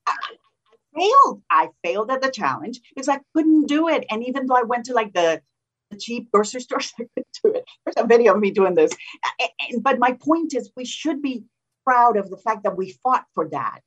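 A woman's voice: fairly high pitch, fairly quick, slightly rough. Woman w/ slight colombian accent, guest on a radio interview, fired up and emphatic, kinda dull lo-fi sound. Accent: slight Colombian Spanish. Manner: animated, emphatic, laughs often. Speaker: state senator, guest. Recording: dull lo-fi line.

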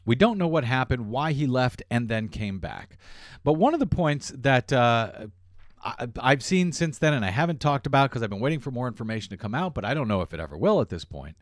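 A man's voice: medium pitch, big pitch swings, fairly quick, no echo, clean studio sound. A man hosting a political talk radio show, delivering a monologue in a sardonic tone with frequent fillers. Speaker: radio host.

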